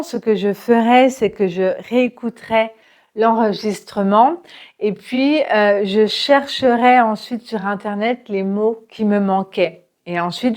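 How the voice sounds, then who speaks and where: Lady teacher, talks real slow, lots of euh pauses, patient. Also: mid-range voice. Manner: slow, patient, with frequent hesitations and fillers. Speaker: French teacher. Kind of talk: relaxed spoken lesson for learners.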